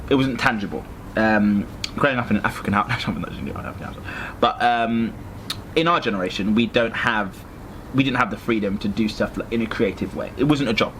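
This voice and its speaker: A young man from London who grew up in Norwich, chatting casually as a guest, jokey and animated with frequent laughs. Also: fairly low pitch, a little fast, slight background noise, poor audio. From London and Norwich, England. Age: young adult. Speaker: actor and social media creator, guest. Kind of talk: casual chat.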